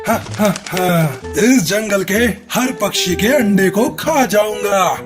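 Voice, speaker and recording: A woman's voice: medium pitch, expressive, takes it slowly, music in the background. A woman voicing a greedy, foolish, menacing hawk in a cartoon story, with a gloating and boastful manner. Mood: gloating menace. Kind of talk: Hindi cartoon story character acting.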